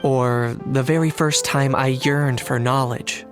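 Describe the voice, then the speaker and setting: A man voicing a composed, blunt, principled young knight in a video game, speaking in a measured, matter-of-fact way. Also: mid-range voice, steady tone, close to an everyday voice. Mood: calm, resolute. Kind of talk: scripted game dialogue. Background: music.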